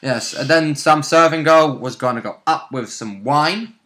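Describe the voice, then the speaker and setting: Man chatting in a bright, sharp voice. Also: fairly high pitch, measured pace. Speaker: role-play game participant. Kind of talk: casual chat recapping a game.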